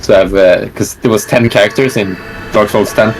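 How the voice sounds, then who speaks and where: A man being interviewed about the games he plays. He talks in a relaxed, rambling way, with lots of fillers. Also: lowish voice, a little fast, breathy edge, faint music behind, rough recording. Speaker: interview guest, gamer. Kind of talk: casual online interview.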